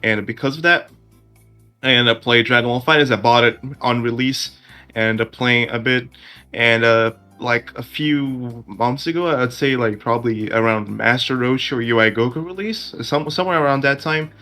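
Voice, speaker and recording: Bright-voiced man. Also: medium pitch, normal speed, faint music behind. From Brazil. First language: Portuguese. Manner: earnest, with restarts. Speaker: podcast co-host. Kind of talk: casual chat.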